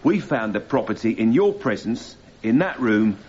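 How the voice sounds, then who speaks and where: An actor playing a stubborn, indignant police sergeant on the witness stand in a radio drama, giving curt, formal denials. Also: male voice, lowish voice, sing-song, normal speed, darkish tone. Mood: defensive. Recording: slightly dull, noisy radio recording.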